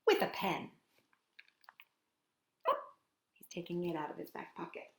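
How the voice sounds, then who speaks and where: A woman hosting storytime, reading a picture book aloud to young children in an animated, playful way with chatty asides. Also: lowish voice, unhurried, roomy sound.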